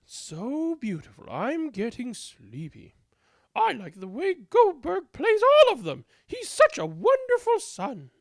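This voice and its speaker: A reader voicing tired, dreamy villagers, gushing with drawn-out sighs. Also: sits high, wildly swooping pitch, dry recording, pitched above the performer's own voice, exaggerated voice. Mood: dreamy delight.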